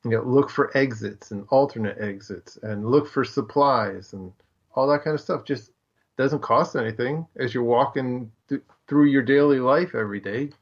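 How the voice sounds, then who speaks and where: A man's voice: medium pitch, moderate pace. A man co-hosting a podcast in casual, informal chat, repeating words for emphasis, on slightly dull lo-fi audio. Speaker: podcast co-host.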